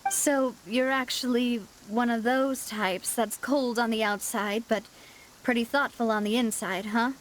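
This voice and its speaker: A woman's voice: a little high, steady tone, a little slow, slight background noise, lightly acted, rough recording. A woman playing a poised young female leader in a video game, polite, frank and self-assured. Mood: frank, gentle. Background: game music.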